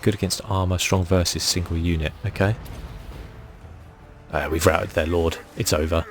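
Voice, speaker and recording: A man giving soft-spoken ASMR commentary as he plays a game, thinking aloud in a relaxed way. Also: deep voice, moderate pace, soft background music. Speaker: gaming video host.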